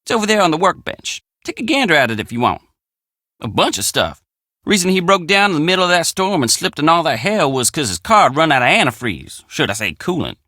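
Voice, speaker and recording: A man's voice: a little high, sing-song, fairly quick, pushed and bright, dry recording, clean studio sound, exaggerated voice. An actor playing a friendly, plainspoken young country handyman with an American drawl in a video game. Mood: easygoing, matter-of-fact. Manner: folksy, plainspoken country phrasing. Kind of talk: video game character dialogue.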